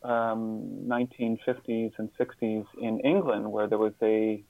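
A man being interviewed as a guest, answering reflectively in a halting, thoughtful way with pauses and repeated words. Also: on the low side, steady tone, creaky, no echo, narrow, tinny audio. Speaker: interview guest, author and hospice volunteer.

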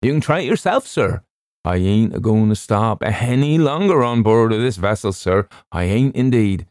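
A man's voice: fairly low pitch, animated, moderate pace, darkish tone, no room sound, clean studio sound, pitched above the performer's own voice, natural voice. A man voicing a nervous, servile ship's steward, insistent and colloquial, in a storybook reading. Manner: faltering, deferential, colloquial.

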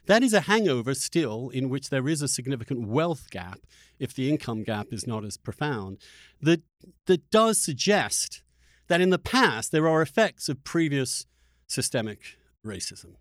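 Halting, articulate man in a dry-sounding recording. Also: mid-range voice, very animated, no echo. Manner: halting, searching for words with repeated starts. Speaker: interviewer, podcast host. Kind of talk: conversational political discussion.

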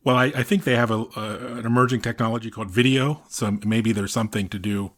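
A man's voice: mid-range voice, steady tone, fast. A man co-hosting a podcast in casual banter, with a dry, joking manner. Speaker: co-host of an industry news podcast.

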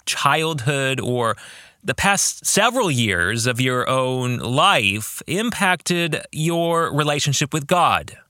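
Bright, animated male podcast host. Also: mid-range voice, slow pace, no room sound, clean studio sound. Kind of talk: scripted podcast narration with book-club reflection.

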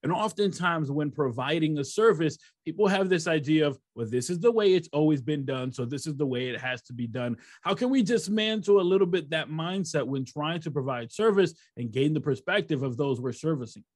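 A man from Rochester, New York, hosting a podcast and asking measured, reflective questions. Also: on the high side, expressive, dry recording, studio quality. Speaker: podcast host. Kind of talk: interview questions.

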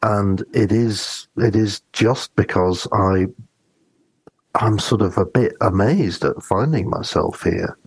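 Man, lowish husky voice, dry close sound, reflective, halting, casual podcast co-host. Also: dry recording, poor audio. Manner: hesitant, reflective, halting delivery. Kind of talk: casual book discussion, personal anecdotes.

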